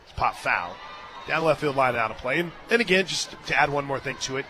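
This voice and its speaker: A man calling softball play-by-play on the radio, chatting casually between pitches and lifting with excitement on big plays. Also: a little fast, a faint crowd, a little hiss or hum. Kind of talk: live softball commentary with casual booth chat. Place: stadium radio booth.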